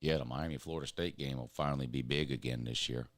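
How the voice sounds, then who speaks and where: A hoarse, raspy man ranting bluntly as a show host. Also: a bass voice, fairly even intonation, fast, no echo, studio quality. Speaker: show host reading chat. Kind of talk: casual sports rant.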